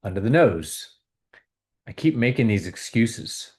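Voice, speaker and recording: A man hosting a video, calmly guiding viewers through a tapping exercise with repeated phrases. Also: lowish voice, a little slow. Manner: calm, repetitive, coaching tone. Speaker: host leading a tapping exercise. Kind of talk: guided tapping exercise and money talk.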